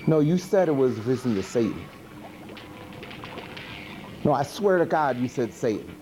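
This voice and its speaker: A man's voice: medium pitch, lively intonation, a little slow, dark tone, natural voice. A man playing a goofy monster who hosts a horror movie show, rambling in slangy, exasperated comic commentary. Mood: apologetic, grumbling. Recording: noisy 1980s VHS TV tape.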